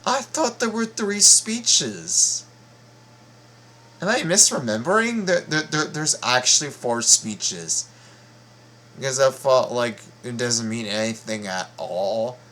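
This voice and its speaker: Male reaction host, mid-pitched, unhurried, halting and repetitive, casual commentary. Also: animated, bright, forceful tone. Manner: halting, repeats words and phrases.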